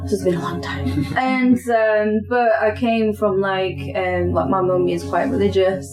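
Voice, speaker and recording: A woman's voice: mid-range voice, steady tone, a little slow, poor audio. A woman in her thirties from Portugal hosting a casual podcast interview, chatty, with many fillers. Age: 30s. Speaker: podcast host. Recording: loud music behind.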